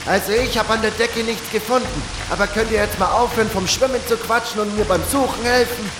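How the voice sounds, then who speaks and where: A man's voice: high voice, fairly even intonation, brisk, lightly acted. A man playing an impatient, practical, commanding adventurer in a fantasy audio drama. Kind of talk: German fan audio drama acting. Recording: echoey, noisy hiss and water sounds.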